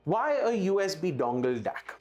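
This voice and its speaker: A man with an Indian accent presents a product as if talking straight to viewers. His delivery is keen and emphatic, leaning on key words. Soft music plays faintly underneath his voice. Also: sits high, sing-song, moderate pace. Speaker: presenter for an audio retailer. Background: background music.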